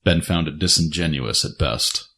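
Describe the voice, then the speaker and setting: A man's voice: extremely low pitch, moderate pace, gravelly, sharp tone. A man narrating a scripted dramatic story as the narrator of an audio drama.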